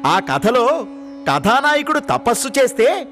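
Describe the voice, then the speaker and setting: A man's voice: very high voice, very animated, slow, a bit bright, soft background music, full character voice. A man voicing a poor, dreamy elder brother in a cartoon, theatrical, grandiose and naively earnest. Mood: earnest, hopeful. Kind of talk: exaggerated cartoon dialogue acting.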